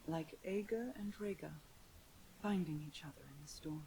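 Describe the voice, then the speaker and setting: A woman's voice: sits low, normal speed, gravelly, dark tone, poor audio. Solemn, regal queen, faint hiss behind. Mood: tender. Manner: solemn, restrained, formal. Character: regal, sorrowful queen and protective sister. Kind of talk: video game cutscene acting.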